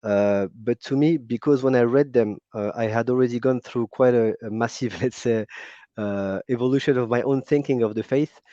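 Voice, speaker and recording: A man's voice: mid-range voice, normal speed, dark tone, dry recording. A man with a French accent, calling in to a stream and talking casually, with many fillers and restarts. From France. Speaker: caller. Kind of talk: casual call-in conversation. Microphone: video call. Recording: muffled lo-fi call audio.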